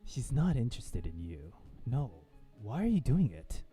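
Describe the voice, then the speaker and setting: A man voicing a gruff, blunt high school bully in a visual novel. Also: big pitch swings, moderate pace, dark tone, soft background music, close to an everyday voice. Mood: confrontational. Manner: curt, low-key, blunt.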